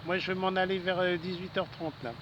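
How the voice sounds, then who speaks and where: A man's voice: sits high, flat delivery, quick pace, highs cut off. A man chatting casually and a little hesitantly as an amateur radio operator in a public garden. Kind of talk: casual radio contact chat. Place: outdoors in a city public garden. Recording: noisy outdoor city ambience. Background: urban outdoor noise, traffic.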